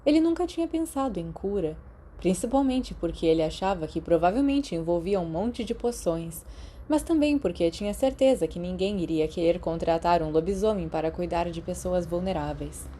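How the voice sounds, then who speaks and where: A woman's voice: fairly low pitch, lively intonation, normal speed, dark tone. A woman with a Brazilian accent reading a scripted story as an audiobook narrator. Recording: clearly noisy background.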